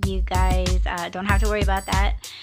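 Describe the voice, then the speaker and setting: A young woman hosting her own podcast voice blog, talking casually and haltingly with many fillers, her voice raspy and weak from being sick. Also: medium pitch, monotone, over loud music. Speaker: podcast host.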